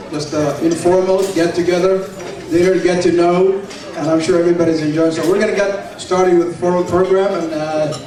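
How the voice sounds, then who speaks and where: Guy emceeing a dinner, kinda high voice, lots of uhs, super echoey far-off sound with crowd noise. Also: a little mellow. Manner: informal, hesitant with fillers. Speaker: master of ceremonies. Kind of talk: event announcements. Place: large banquet hall indoors. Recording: very echoey hall, distant mic, crowd chatter.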